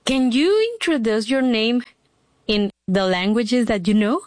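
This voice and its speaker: A woman's voice: on the high side, lively intonation, a little slow, no room sound. A woman co-hosting and interviewing on a student podcast, enthusiastic, with short questions. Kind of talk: scripted presenting and interviewing. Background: music.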